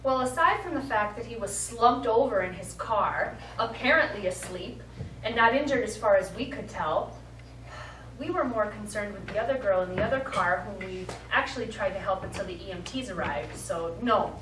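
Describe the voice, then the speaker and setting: A woman's voice: mid-range voice, expressive, normal speed, recorded from a distance, lightly acted. A woman playing an arch, sarcastic, playfully scheming wealthy wife in a live stage play. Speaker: stage actor. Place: small theatre studio, recorded from the audience. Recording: echoey stage recording from afar, background noise.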